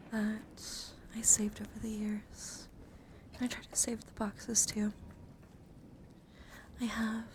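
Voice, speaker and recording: A woman streaming ASMR in a soft, breathy whisper, speaking unhurriedly and intimately close to the microphone. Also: medium pitch. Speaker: livestream host. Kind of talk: whispered ASMR livestream talk. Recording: close whisper with clear background noise.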